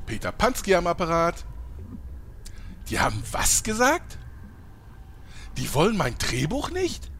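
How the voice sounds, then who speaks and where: Man playing a pompous, oblivious gamer with swooping pitch. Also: wildly swooping pitch, measured pace, pauses often, fairly bright tone, a little hiss or hum, full character voice. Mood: huffy self-importance. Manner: pompous, theatrical. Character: oblivious, self-important eccentric male gamer and would-be screenwriter. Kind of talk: comedy audio play dialogue.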